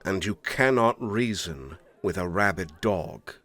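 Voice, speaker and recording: A man's voice: sits low, animated, takes it slowly, a bit bright, exaggerated voice. An actor playing a stern, imperious older king in an audio roleplay drama, speaking formally and with weighty dramatic emphasis. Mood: scornful.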